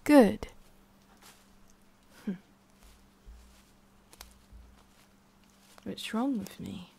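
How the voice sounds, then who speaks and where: A woman playing a centuries-old forest witch in an ASMR roleplay, haughty but secretly soft, speaking in a halting, musing way with a prickly edge. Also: medium pitch, wildly swooping pitch, vocal fry, a little hiss or hum, heavily acted. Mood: flustered, prickly. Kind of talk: ASMR roleplay, addressing the listener.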